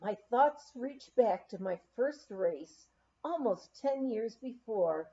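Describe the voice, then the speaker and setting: Woman narrator, high-pitched, expressive intonation, memoir read-aloud, slightly muffled recording. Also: takes it slowly. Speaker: audiobook reader.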